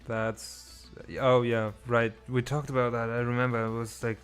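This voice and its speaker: A man's voice: mid-range voice, little pitch movement, normal speed. Man thinking aloud over music. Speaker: host, game developer streaming. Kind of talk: casual chat while working. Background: music behind the voice.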